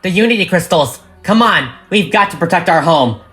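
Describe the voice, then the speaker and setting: A brave young cartoon mare acted out by a narrator speaks in a raised pitch. The delivery is earnest and emphatic, like a leader rallying others, over music. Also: animated, moderate pace, a bit bright, close to an everyday voice. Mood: determined, rallying.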